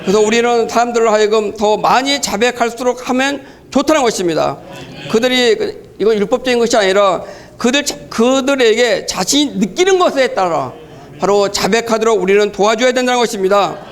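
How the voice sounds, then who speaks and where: A man delivers a church teaching in a high, gravelly voice. He keeps coming back to the same filler word as he explains his point. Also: a little fast. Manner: repeats a filler word again and again. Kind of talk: sermon-like teaching.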